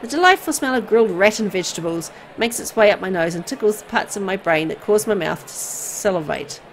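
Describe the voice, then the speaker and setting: A woman reading a horror visual novel aloud in an expressive storytelling style. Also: medium pitch, fairly quick, a little hiss or hum.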